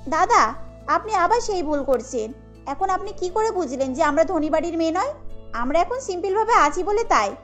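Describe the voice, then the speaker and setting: Woman as very high-voiced headstrong Mili. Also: sing-song, moderate pace, a bit bright, faint music behind, exaggerated voice. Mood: smug, challenging. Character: proud, headstrong young bride-to-be Mili. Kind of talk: cartoon voice acting.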